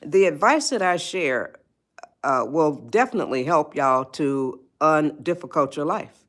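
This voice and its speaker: A woman's voice: deep voice, lively intonation, a little slow, fairly bright tone. An 81-year-old woman, hosting a live stream and giving advice. She rambles, repeats herself and greets viewers by name. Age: 80s. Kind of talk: spontaneous livestream talk.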